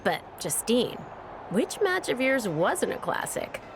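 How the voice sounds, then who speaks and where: A woman voicing a chatty, flattering female interviewer in video game story dialogue. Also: on the high side, slow pace, heavily acted. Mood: playful, curious. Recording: noisy background ambience.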